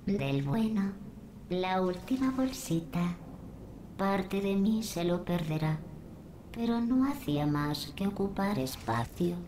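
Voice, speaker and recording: An actress voicing a cold, sarcastic female computer overseer in a Spanish game dub, calm and mocking. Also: mid-range voice, slow pace, a little hiss or hum. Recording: roomy reverb over game music.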